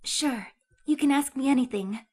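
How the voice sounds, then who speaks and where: A woman's voice: sits high, normal speed, a little mellow. A woman voicing an upbeat young female lawyer in a game fan dub, eager and sometimes hesitant. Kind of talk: amateur game fandub acting.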